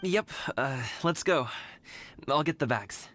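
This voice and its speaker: An actor playing an awkward, good-hearted young adult son who speaks hesitantly. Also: male voice, a little high, expressive, takes it slowly, slight background noise. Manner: hesitant, stumbling. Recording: game audio with loud music.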